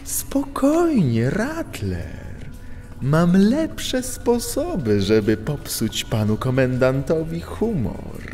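A suave, smug villain cat for a children's radio play. He draws his words out slowly with big swoops in pitch. A long tunnel reverb surrounds him. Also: huge pitch swings, mellow, faint music behind, full character voice. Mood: taunting. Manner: theatrical, smug, drawn-out. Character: suave, menacing cat thief, villain boss. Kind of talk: children's audio drama acting. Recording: long echo of a sewer tunnel.

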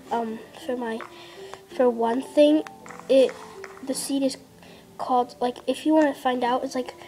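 High-voiced young girl rambling over gameplay. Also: warm tone, poor audio. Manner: rambling, lots of "like" fillers, drops to half-whispers. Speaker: gameplay vlogger. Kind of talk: casual gameplay commentary. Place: indoors at a table. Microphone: device camera filming a handheld screen.

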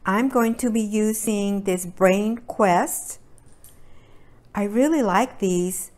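Slow-paced woman demonstrating learning activities. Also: mid-range voice, darkish tone. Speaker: early childhood educator presenting activities. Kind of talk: demonstration talk to parents. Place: indoors at a table by a brick fireplace.

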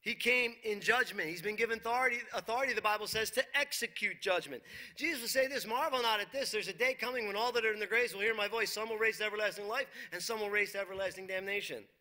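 Bright, high-voiced preaching man. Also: fast. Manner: emphatic preaching with rhetorical questions, reads scripture aloud. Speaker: pastor. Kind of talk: sermon. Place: church stage, dark brick wall behind.

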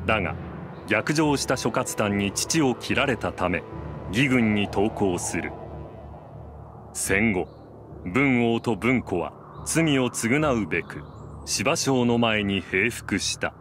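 A man's voice: fairly low pitch, animated, takes it slowly, noisy background. A man performing as a solemn, formal narrator of a historical war chronicle in a video game. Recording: studio voice over loud game music.

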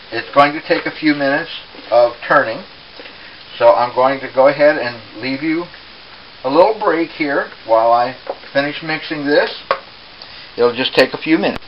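A man presenting a casual home cooking demonstration in an unhurried, explanatory way, recorded in a kitchen. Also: on the high side, lively intonation. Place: small home kitchen, indoors. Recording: dull, hissy home camera audio.

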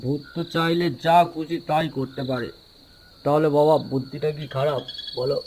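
Man as lazy, headstrong village son for cartoon: youthful, highish, mellow tone. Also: fairly even intonation, moderate pace, slight background noise, lightly acted. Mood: scheming.

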